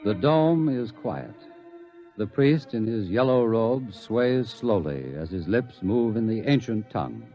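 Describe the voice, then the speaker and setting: A man's voice: lowish voice, lively intonation, unhurried. A man narrates a radio drama in a solemn, ominous manner. His mid-range voice rises and falls widely. Faint music sits behind the slightly muffled recording.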